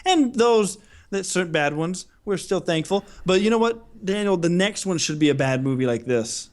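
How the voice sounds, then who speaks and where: A man's voice: high voice, lively intonation, moderate pace. A man co-hosting a podcast in casual, jokey chat, with a goofy, exaggerating way of talking. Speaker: podcast co-host.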